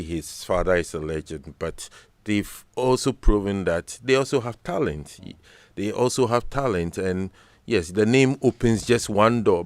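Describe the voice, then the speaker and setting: A Ghanaian man with a Ghanaian English accent speaks in a low, smooth and resonant voice that sounds close and dry, like a radio studio. He talks in a measured, earnest way and repeats words as he gathers his thoughts. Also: no room sound. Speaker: sports radio pundit. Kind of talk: studio sports discussion.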